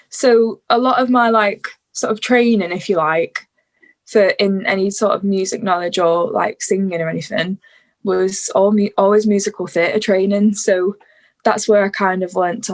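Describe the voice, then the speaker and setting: A young woman in her twenties with a northwest English accent, chatting casually as a podcast guest and laughing easily. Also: medium pitch. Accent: northwest English, between Liverpool and Manchester. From northwest England. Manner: chatty, laughs often, trails off softly. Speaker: guest musician. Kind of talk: casual conversation.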